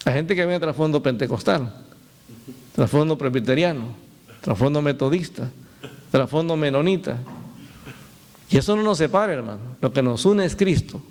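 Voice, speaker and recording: A man's voice: on the high side, expressive, normal speed, long pauses, a little mellow. A man from El Salvador, a pastor preaching a sermon who tells stories with laughter and speaks directly to his congregation.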